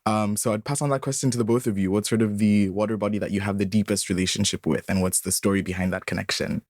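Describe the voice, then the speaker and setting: Male podcast host, casual questions, lowish voice, dry sound. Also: fairly even intonation, quick pace, a little mellow, dry recording. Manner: casual, friendly questions with fillers. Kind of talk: podcast interview.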